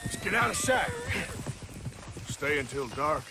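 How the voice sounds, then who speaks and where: An actor playing a weary, sardonic, tough American outlaw in a video game, dry and commanding, with outdoor noise behind him. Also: male voice, a little high, very animated, unhurried. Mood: tense, commanding. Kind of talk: video game cutscene acting. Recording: outdoor ambience, horse hooves.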